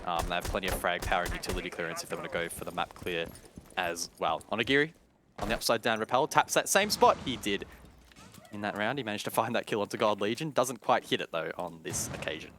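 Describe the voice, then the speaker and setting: A man's voice: low-pitched, lively intonation, quick pace, noisy background. A man giving detailed, explanatory live commentary on an esports match. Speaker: esports caster. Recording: game music and gunfire behind.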